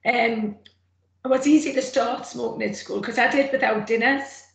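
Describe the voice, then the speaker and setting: A middle-aged woman with a rough, gravelly throat, giving an earnest, plain-spoken personal testimony from her notes on a video call. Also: fairly high pitch, steady tone, moderate pace. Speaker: former smoker speaking at a roundtable. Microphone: computer mic on a video call. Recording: echoey room, faint noise.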